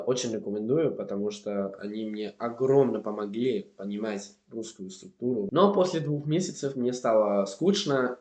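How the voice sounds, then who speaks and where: A man's voice: medium pitch, a little mellow, echoey room. A young man from Spain with a Spanish accent, speaking Russian as a learner in an earnest, encouraging vlog. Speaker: language learning vlogger. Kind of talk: casual vlog talk to camera.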